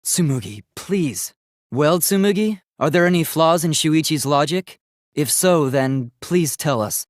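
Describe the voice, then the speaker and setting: An earnest young robot student from a video game, with a fairly high male voice. He speaks precisely and politely, reasoning in formal, logical phrases. The recording is dry and close. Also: animated, measured pace, dry recording. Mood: pleading.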